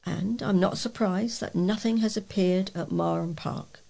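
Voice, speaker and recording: A British woman reading readers' letters aloud as a volunteer local newsreader, with a measured delivery and the occasional stumble. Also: fairly low pitch, vocal fry, dark tone.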